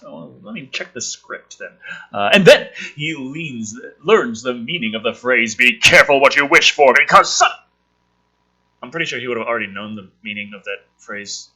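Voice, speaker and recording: A man with a very bright, forceful voice that has a rough, husky edge. He reads script aloud with exaggerated drama, then drops into rambling, sarcastic, profane commentary as the host. His pitch swings around in a lively way. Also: moderate pace, poor audio. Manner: sarcastic, exaggerated dramatic delivery when reading. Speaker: host reading and commenting on a film script draft. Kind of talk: casual sweary commentary mixed with dramatic script read-aloud.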